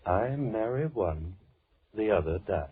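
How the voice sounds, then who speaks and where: An actor playing a deranged, lonely killer who speaks with mock politeness and menace in old radio horror style. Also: male voice, on the low side, lively intonation. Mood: mock-gentle menace. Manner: theatrical, mock-polite, slow musing monologue. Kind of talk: 1940s radio horror acting. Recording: muffled, tinny old radio recording.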